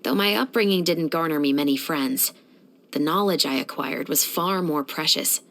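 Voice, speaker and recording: A woman's voice: low voice, normal speed, a bit bright. An actress playing a stern, dutiful young female patrol captain in a video game, speaking in a composed, authoritative and earnest manner. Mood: grave, earnest. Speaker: video game character voice actor. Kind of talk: scripted video game character voice lines.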